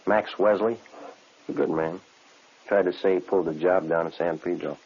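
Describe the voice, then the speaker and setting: An actor playing a guarded, weary jail inmate in a 1950s radio crime drama, clipped and understated. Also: male voice, low-pitched, normal speed, vocal fry, darkish tone. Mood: guarded, casual. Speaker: narrator and lead actor in a police radio drama. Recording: muffled, noisy old radio recording.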